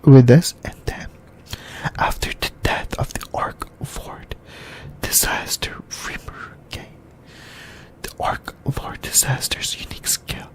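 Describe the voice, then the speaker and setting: A whispered voice speaks very slowly, as in an ASMR video. The delivery is halting and comes in short phrases, like a YouTuber softly talking through an anime discussion. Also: mid-range voice, huge pitch swings.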